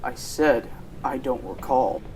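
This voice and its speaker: A man's voice: medium pitch, slow, a little mellow, slight background noise, low-quality recording. A young man acting in a radio drama as a defensive, troubled young company executive. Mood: guarded, evasive. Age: teenager.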